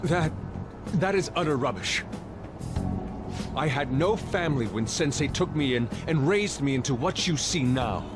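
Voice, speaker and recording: A man's voice: mid-range voice, lively intonation, unhurried, close to an everyday voice. An actor voicing a proud, formal young art student, loyal to his teacher, with theatrical diction in an English game dub. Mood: indignant. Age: young adult. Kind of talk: dramatic English video game dub acting. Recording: music behind the voice.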